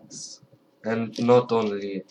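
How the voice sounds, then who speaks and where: Slow, hesitant Ukrainian-accented man. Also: lowish voice, little pitch movement, a little hiss or hum, rough recording. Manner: hesitant, searching for words, quiet and careful. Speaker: video creator. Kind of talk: casual talk to camera.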